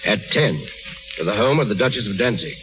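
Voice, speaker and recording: An actor playing a stern, suspicious emperor, curt and sardonic, in 1930s radio style. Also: male voice, lowish voice, animated, fairly quick, noisy background, natural voice. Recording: muffled 1930s radio broadcast with music.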